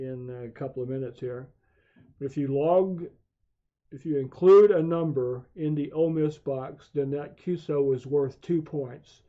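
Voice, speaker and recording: A man narrating a software tutorial as a hobbyist, explaining things in a methodical, measured way. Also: mid-range voice. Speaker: amateur radio hobbyist hosting video tutorials. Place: home radio shack. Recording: dull, muffled lo-fi voice track.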